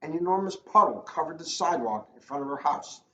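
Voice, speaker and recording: A man's voice: on the high side, animated, brisk, sharp tone, reverberant. A man, a police officer, reading a children's storybook aloud in a casual, informal style. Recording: dull lo-fi audio, faint noise.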